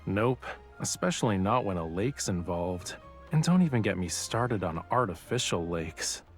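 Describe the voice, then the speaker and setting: Young romance game boyfriend, teasing n wry, very up and down voice, music behind. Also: male voice, deep voice, expressive, normal speed. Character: young male love interest, playful and teasing.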